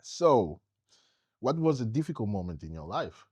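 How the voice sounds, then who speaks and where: A man's voice: on the low side, sing-song, moderate pace, a little mellow, husky, no echo, dull audio. A young man from Italy co-hosting a podcast in an easygoing, informal, conversational way. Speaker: podcast co-host. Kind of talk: casual conversation.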